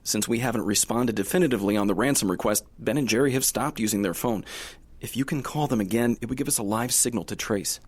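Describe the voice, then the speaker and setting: A man's voice: fairly low pitch, fast, slightly rough. An actor playing a deferential male FBI agent in an audio drama, formal and matter-of-fact. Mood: measured, official. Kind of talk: radio drama acting.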